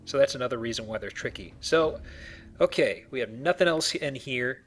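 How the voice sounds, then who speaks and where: A man's voice: medium pitch, lively intonation, moderate pace, fairly bright tone, soft background music. A man hosting a casual let's play, chatting as he plays a video game. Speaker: video game player and host. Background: video game sounds.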